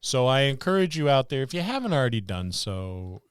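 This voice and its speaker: Clear-voiced man bantering on a podcast. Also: mid-range voice, sing-song, brisk, no echo, clean studio sound. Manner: jokey, wry grumbling. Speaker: podcast co-host. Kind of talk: casual banter among co-hosts.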